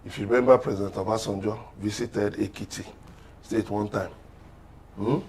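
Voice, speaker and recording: A man's voice: lowish voice, fairly even intonation, normal speed, a little mellow, a little hiss or hum. A man with a Nigerian accent speaking as a panel guest in a political discussion, emphatic and urging in manner. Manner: emphatic, exhortative.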